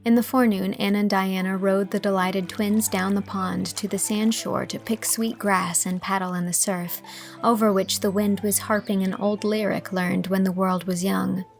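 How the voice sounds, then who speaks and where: A woman's voice: mid-range voice, little pitch movement, normal speed, creaky, faint music behind. A woman reading scripted literary narration as an audiobook narrator.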